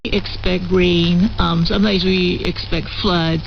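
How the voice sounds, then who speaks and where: A woman official making halting public remarks. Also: fairly low pitch, fairly even intonation, creaky. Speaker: government official. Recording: muffled, lightly noisy radio relay.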